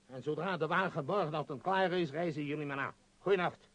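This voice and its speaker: Husky, imperious tycoon. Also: male voice, fairly high pitch, a little fast, a bit bright. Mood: bossy, distracted. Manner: hesitant, rambling, bossy, self-important. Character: imperious, absent-minded billionaire tycoon, no longer young. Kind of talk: radio play acting. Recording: muffled, dull radio play recording.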